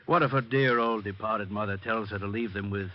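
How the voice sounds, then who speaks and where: An actor playing a sly, smooth-talking scheming doctor with calm authority in a 1940s radio crime drama. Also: male voice, on the low side, brisk, close to an everyday voice. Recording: muffled old radio recording, faint music.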